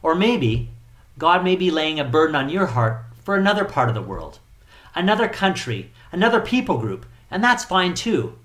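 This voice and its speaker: A middle-aged man speaking as a missionary to a congregation, earnest and measured, appealing to listeners with rhetorical questions. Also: a little high, big pitch swings, a bit bright. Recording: echoey room.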